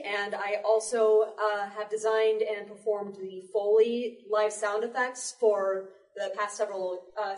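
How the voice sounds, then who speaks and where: A woman introducing herself casually as a performer on a live panel. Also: mid-range voice. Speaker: voice actor, Foley artist and panelist. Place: public library event room. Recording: echoey room, distant mic.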